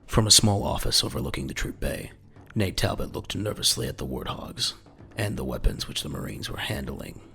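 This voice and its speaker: A man narrating a scripted audio drama in a measured, dramatic, gravelly voice. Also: deep voice, animated, pushed and bright.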